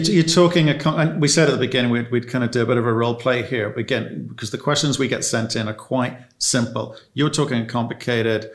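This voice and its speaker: A male interviewer asks long, searching questions, often restarting mid-sentence. He speaks at a middling pitch in a plain conversational tone. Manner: probing, long rambling questions. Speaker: interviewer host.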